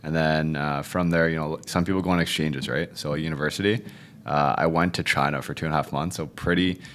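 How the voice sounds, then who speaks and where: Young male guest, Cantonese first language, extremely low voice, loose and chatty delivery. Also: fairly quick, slight background noise. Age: 20s. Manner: relaxed, rambling, fond of the tag "if you will, right?". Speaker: podcast guest, realtor. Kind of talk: casual interview chat.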